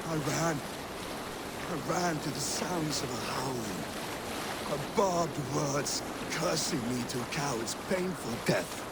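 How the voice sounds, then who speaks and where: A man playing a rueful, weathered older Viking warrior telling a tale dramatically in a video game, with a gravelly voice. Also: high voice, full character voice. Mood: bitter regret. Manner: wistful, dramatic storytelling. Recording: loud water and boat noise.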